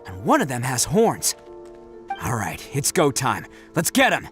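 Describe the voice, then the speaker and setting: A man's voice: medium pitch, huge pitch swings, a little slow, fairly bright tone, soft background music. A man voicing a brash, good-hearted young oni gang leader in a video game, boisterous, theatrical and slangy. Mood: cocky, fired up.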